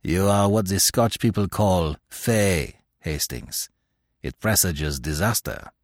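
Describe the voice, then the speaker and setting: A man's voice: low-pitched, expressive, takes it slowly, dry recording, studio quality, heavily acted. A man voicing a vain, fussy middle-aged male detective who lectures in a pompous, self-assured way, in an audiobook reading. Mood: grave.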